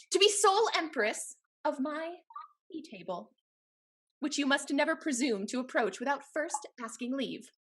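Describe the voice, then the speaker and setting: A woman playing a free-spirited, witty heiress in a Restoration comedy, with an arch, theatrical and deliberate delivery. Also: high voice, a bit bright. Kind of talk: Restoration comedy stage dialogue.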